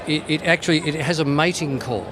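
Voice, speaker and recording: A man's voice: fairly high pitch, fairly quick, crowd noise. A man giving an informal, playful product demonstration as a company representative at a trade show. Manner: tongue-in-cheek, playful.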